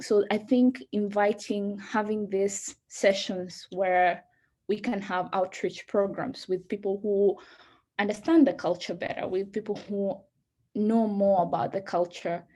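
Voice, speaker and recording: A woman whose first language is Somali speaks on a video call as a guest speaker. Her pitch sits in an ordinary middle range, and her answers come haltingly, with frequent restarts and filler sounds as she gathers her thoughts. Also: a little mellow. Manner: hesitant, searching for words with restarts. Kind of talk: answering audience questions in a webinar.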